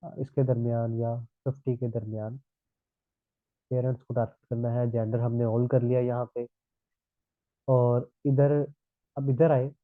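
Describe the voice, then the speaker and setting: A man's voice: medium pitch, level tone, measured pace, long pauses, dry recording. A man teaches a live online class while nursing a sore throat. He walks through each point and keeps checking in with his students. His voice comes over a tinny, phone-like line that sounds dry and close. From Pakistan. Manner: explanatory, repeats "thik hai" checks.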